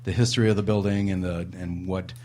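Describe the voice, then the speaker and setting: A man's voice: sits low, little pitch movement, quick pace, a little mellow, a little hiss or hum. Rambling sarcastic male radio host. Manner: rambling, sarcastic, many fillers. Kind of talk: casual radio chat. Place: radio studio in a historic house.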